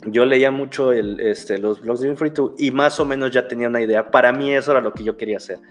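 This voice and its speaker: A man's voice: medium pitch, quick pace, soft background music. Mexican man rambling with many restarts. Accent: Mexican Spanish. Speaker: guest, security researcher. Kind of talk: casual interview talk.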